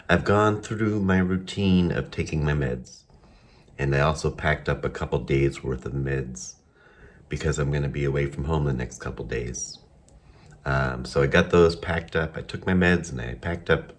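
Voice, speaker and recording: A man from Massachusetts with a Boston accent, talking to camera in a casual video diary, halting and candid with many pauses and ums. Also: rumbling low. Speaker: vlogger.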